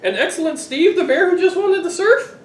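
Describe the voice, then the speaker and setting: A middle-aged man reading a picture book aloud to children, expressive and playfully exasperated. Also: very high voice, a little fast. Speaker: father reading to his children. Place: living room sofa, indoors. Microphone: camera a few feet away. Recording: roomy, distant home recording with noise.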